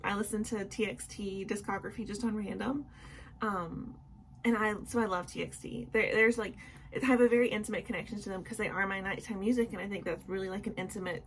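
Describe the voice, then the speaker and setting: A woman's voice: medium pitch, a little hiss or hum. A young woman with a sick, sore throat chatting casually in a nightly vlog from home, apologetic and full of ums and likes. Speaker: vlogger.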